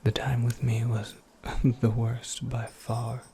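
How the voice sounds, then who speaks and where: A performer acts out a wry, soft-spoken witch mentor for a whispered ASMR roleplay. The breathy whisper moves very slowly and crackles with fry. The phrasing is formal, cryptic and dryly playful, over faint background noise. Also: mid-range voice, completely flat delivery. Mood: wry, explanatory.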